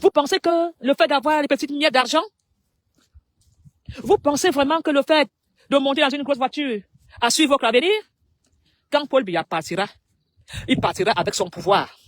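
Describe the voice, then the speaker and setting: A woman with a Cameroonian French accent making an impassioned public appeal, speaking emphatically in short phrases with dramatic pauses. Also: high-pitched, dry recording.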